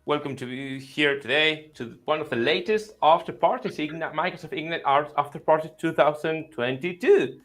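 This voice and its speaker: A man's voice: fairly high pitch, moderate pace, few pauses, a bit bright. A man hosting an online panel chat, friendly and rambling with many fillers. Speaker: host.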